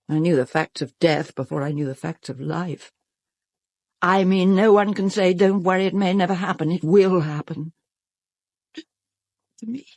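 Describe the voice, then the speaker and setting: An actress playing a vain, self-absorbed stage star with a British accent, haughty and melodramatic in a radio drama. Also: female voice, on the low side, gravelly, a little mellow, husky, no echo, exaggerated voice. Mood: fearful.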